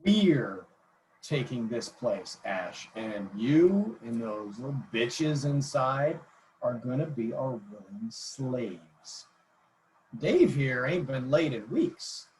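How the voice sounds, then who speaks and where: Man playing menacing, slow-talking rebel boss, noisy recording. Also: medium pitch, big pitch swings, a little mellow. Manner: threatening, commanding. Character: menacing male rebel gang boss, crude and defiant. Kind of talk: screenplay table read acting.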